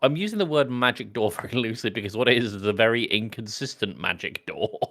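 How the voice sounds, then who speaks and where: A man's voice: lowish voice, animated, quick pace, fairly bright tone. A man co-hosting a podcast in casual conversation about films. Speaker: podcast co-host. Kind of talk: casual film discussion.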